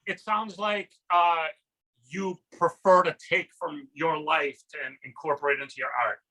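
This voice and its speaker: A man co-hosting a chat show, asking polite, measured questions with small hesitations. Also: on the high side, fairly even intonation, sharp tone, rough recording. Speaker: podcast co-host. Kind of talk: casual interview chat.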